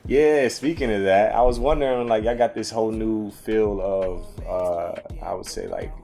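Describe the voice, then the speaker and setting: Low-voiced man hosting a casual chat. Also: moderate pace, gravelly, mellow. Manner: easygoing, slangy, fires off loose questions. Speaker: interview host. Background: music playing.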